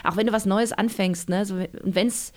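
A woman's voice: medium pitch, steady tone, moderate pace. A woman, an author being interviewed, talking casually and reflectively, with many fillers and restarts.

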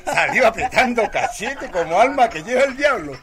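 An actor playing a playful, nostalgic grown-up brother chatting casually in a radio drama. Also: male voice, extremely high pitch, big pitch swings, normal speed, a crowd in the background.

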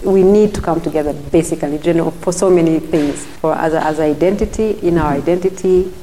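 A middle-aged Ugandan woman, a guest in an interview, talking thoughtfully with frequent restarts and soft murmurs of agreement. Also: low voice, brisk, long reverb tail, slight background noise. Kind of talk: casual interview talk.

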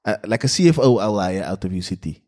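A South African man co-hosting a podcast, speaking bluntly and casually with a good deal of cursing. His voice sits low, and his tone is mellow and relaxed. Afrikaans is his first language. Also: fast. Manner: blunt, swears freely. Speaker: podcast co-host. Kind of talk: casual chat.

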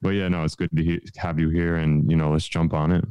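A man's voice: very deep voice, level tone, brisk, warm tone, smooth, no echo, muffled recording. A man co-hosting a podcast, chatty and self-mocking. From New York. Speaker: podcast co-host. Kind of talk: casual interview chat.

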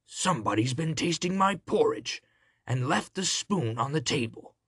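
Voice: mid-range voice, animated, normal speed, fairly bright tone, slightly rough, no room sound, studio quality, voice pushed up. Storyteller acting out a big growling father bear. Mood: growling indignation. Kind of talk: children's storybook character voice.

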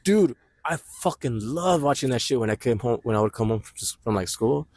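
A loud young man chatting casually on a podcast, full of slang and frequent laughter. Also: medium pitch, animated, moderate pace, husky, no echo. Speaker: podcast co-host. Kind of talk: casual chat. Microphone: portable mic.

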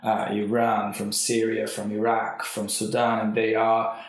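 Croaky low-voiced man, echoey room. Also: completely flat delivery, unhurried. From Brazil. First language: Portuguese. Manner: thoughtful, hesitant with frequent ums. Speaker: language school director. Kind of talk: casual interview. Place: language school.

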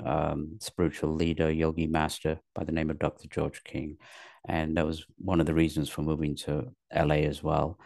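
Deep gravelly man, halting storyteller. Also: darkish tone, no room sound, studio quality. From Pakistan, raised in England. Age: middle-aged. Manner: rambling, halting with many fillers and repeated words. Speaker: guest, actor and comedian. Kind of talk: casual interview storytelling.

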